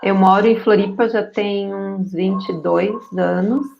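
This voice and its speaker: A woman's voice: medium pitch, fairly even intonation, unhurried, vocal fry, a little mellow, slight background noise. Woman around 40s on a video call, muffled lo-fi sound, easygoing talk. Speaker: guest, marketing professional. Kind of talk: webinar conversation. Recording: slightly dull video call audio.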